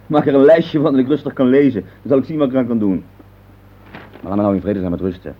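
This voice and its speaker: Guy playing grumpy dismissive middle-aged husband, old radio play, talks fast, muffled recording. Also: medium pitch, close to an everyday voice. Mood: irritated. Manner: impatient, grumbling, dismissive. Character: irritable, evasive middle-aged husband, a harried office man. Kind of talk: naturalistic Dutch radio play acting.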